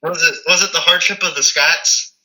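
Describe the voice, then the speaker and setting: Very bright-voiced easygoing man. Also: on the high side, fairly even intonation, normal speed, rough recording. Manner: easygoing, stammers on starts. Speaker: guest. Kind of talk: casual chat.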